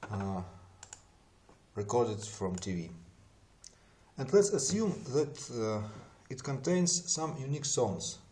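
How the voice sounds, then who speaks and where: A man's voice: mid-range voice, darkish tone, a little hiss or hum. Non-native English speaking man presenting a tutorial. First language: not English. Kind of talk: explanatory tutorial narration.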